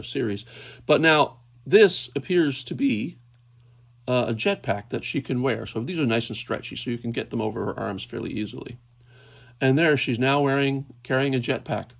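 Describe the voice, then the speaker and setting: A man hosting a casual, unscripted toy unboxing, thinking aloud hesitantly as he handles things. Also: mid-range voice. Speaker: toy unboxing video host. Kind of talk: casual unscripted hands-on commentary. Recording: clear background noise, clicking handling sounds.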